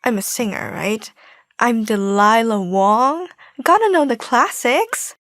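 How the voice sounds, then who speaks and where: A woman's voice: on the high side, lively intonation, takes it slowly, background noise. A young woman playing a confident, chatty young singer in an audio drama. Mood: playful, showy. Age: young adult. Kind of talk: scripted audio drama dialogue.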